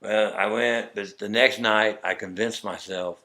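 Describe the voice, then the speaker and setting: A middle-aged man with a Texas accent tells his story at length, his voice sitting somewhat low. He rambles and slips into acting out the words of the people in it, in a loose, conversational way. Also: fairly even intonation, a little slow, sharp tone. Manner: rambling, acts out quoted dialogue. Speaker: interview guest.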